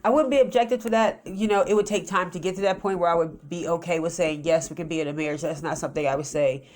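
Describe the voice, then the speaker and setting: A woman's voice: fairly low pitch, fairly even intonation, quick pace, darkish tone. A woman in her forties hosting a podcast, chatting in a confident, joking way. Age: 40s. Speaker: podcast host. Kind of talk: casual chat.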